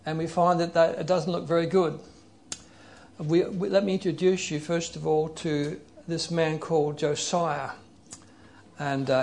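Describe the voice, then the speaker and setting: A man preaching a sermon in an earnest, explanatory way, leading a prayer and reading scripture passages aloud slowly. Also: fairly high pitch, a little mellow, slight background noise.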